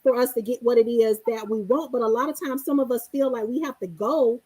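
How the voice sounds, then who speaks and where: A woman co-hosting a casual conversation, making measured, earnest points with a few hesitant fillers. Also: a little high, fairly even intonation, mellow, slightly rough, no echo. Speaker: co-host. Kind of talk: casual chat.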